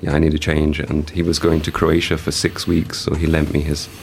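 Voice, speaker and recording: Very deep-voiced hesitant man, slight German accent. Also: monotone, a little hiss or hum. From England. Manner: hesitant, halting, many fillers. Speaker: guest artist. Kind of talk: casual interview talk.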